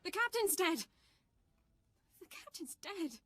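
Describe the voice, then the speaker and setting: A woman's voice: very high voice, sing-song, takes it slowly, fairly bright tone, no echo, faint music behind, heavily acted. A woman playing an anxious junior bridge officer in a video game, reporting in a tense, urgent way. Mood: panicked.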